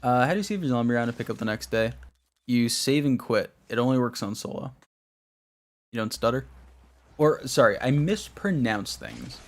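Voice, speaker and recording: A man's voice: medium pitch, expressive, normal speed. A young man streaming a game, chatting casually with his viewers in a rambling way while he plays. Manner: rambling, talks to chat while playing.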